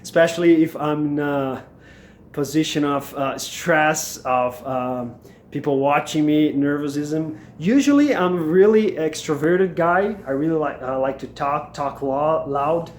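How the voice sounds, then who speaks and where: A man's voice: a little high, a little slow, a little mellow. A young man from Brazil with a Brazilian Portuguese accent, vlogging casually as an MBA student in English, his second language, with lots of fillers and restarts. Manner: hesitant, many fillers and restarts. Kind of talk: casual vlog monologue. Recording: echoey room, faint background noise.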